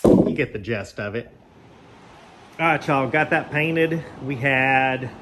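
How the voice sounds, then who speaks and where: A man's voice: medium pitch, slow pace, a bit bright, a little hiss or hum. An American man chatting casually to viewers as he works on projects, folksy and informal, thinking aloud. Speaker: host of a garage project vlog. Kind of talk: casual chat to viewers while working. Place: garage and yard.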